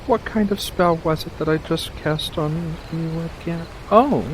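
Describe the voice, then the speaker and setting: Guy doing a playful furry witch in asmr, soft spoken n teasing, really slow, outdoorsy noise behind. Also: a little high, natural voice, low-quality recording. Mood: amused, apologetic. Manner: soft-spoken, teasing, unhurried, coddling with endearments. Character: playful, mischievous furry witch living alone in the woods. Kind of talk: ASMR roleplay.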